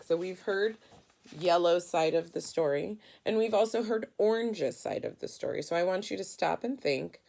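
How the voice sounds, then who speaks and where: A woman's voice: mid-range voice, vocal fry, darkish tone. A woman teacher reading a picture book aloud to children in an instructive, questioning way. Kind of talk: children's read-aloud lesson.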